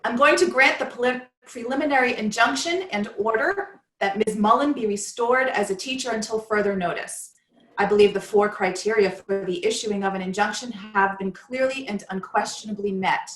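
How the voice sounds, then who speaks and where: A woman's voice: mid-range voice, normal speed, fairly bright tone. Woman reading a ruling aloud, roomy. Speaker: union staff reading a court opinion aloud. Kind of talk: dramatic reading of a legal ruling.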